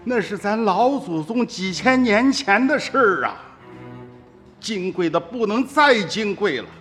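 A man's voice: high voice, sing-song, measured pace, fairly bright tone, heavily acted. An actor playing a stern, wily older villager, an uncle and grandfather, in a radio drama with emphatic, exclamatory rustic speech. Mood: indignant, scolding. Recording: reverberant studio drama with music.

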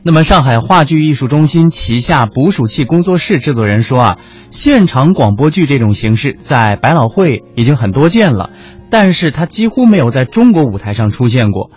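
A man co-hosting a radio programme in conversational mainland standard Mandarin, measured, with small pauses. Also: medium pitch, big pitch swings, a little mellow, slightly rough. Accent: mainland Chinese standard Mandarin. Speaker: radio host. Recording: slightly dull audio with music underneath. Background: background music.

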